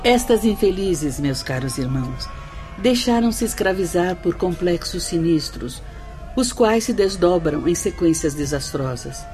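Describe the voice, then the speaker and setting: A woman's voice: on the low side, expressive, measured pace, warm tone. A woman playing a grave spirit instructor, explaining in a solemn manner in a radio drama. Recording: music bed under voice.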